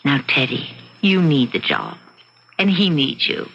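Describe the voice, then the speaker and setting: Scheming wife, theatrical, confiding, animated intonation, muffled radio-drama recording. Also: female voice, very deep voice, unhurried, creaky, a little hiss or hum, full character voice. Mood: coaxing. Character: scheming, bored wife narrating her murderous plans. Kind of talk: old-time radio suspense drama acting. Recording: band-limited radio drama with loud music.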